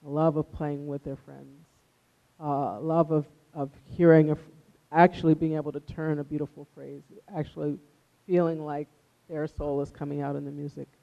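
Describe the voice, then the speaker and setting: A man's voice: a little high, flat delivery, normal speed, creaky. A man giving reflective, conversational interview answers as a musician and music teacher, on a dull, lo-fi recording.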